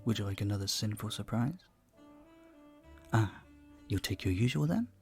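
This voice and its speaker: A low-pitched male vampire bartender, acted by a man, talks with a marked creak at the edges of his words. He is earnest, polite and gently flirtatious, choosing his words with care in an intimate audio roleplay. Music plays behind him. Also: animated, moderate pace. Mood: warm, flirtatious.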